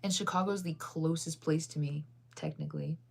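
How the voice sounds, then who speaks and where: A woman's voice: sits low, fairly even intonation, moderate pace, vocal fry, slight background noise. A woman chatting casually with her viewers, rambling through stories with lots of fillers and frequent laughter. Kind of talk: casual chat.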